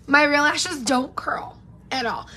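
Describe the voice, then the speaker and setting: High-voiced chatty woman vlogger, losing her voice. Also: expressive, slow pace, pushed and bright. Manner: chatty, rambling, greets viewers by name. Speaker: beauty vlogger. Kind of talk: casual get-ready-with-me live chat. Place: home room with pink neon lights, indoors. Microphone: camera in front at close range.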